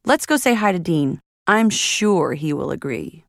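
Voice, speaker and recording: Man doing a kindly storybook lady, patient, reassuring, lively lilt. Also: fairly high pitch, unhurried, vocal fry, dry recording, studio quality, pitched above the performer's own voice, exaggerated voice. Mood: gentle. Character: kind gentle female window washer.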